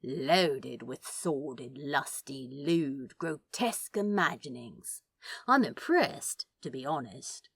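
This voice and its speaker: A woman's voice: extremely low pitch, lively intonation, slow pace, fairly bright tone, slightly rough, dry recording, voice pushed down, exaggerated voice. A woman voicing a sardonic, teasing male demon in a fan fiction audiobook, with a cocky, leering drawl. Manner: drawling, leering, mock-seductive.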